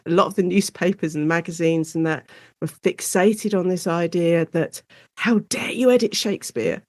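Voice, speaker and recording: A woman's voice: lowish voice, normal speed, no echo. Woman, dry close sound, explaining informally. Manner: animated explainer, acts out short quotes. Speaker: guest academic, Shakespeare and radio drama specialist. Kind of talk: informal interview conversation.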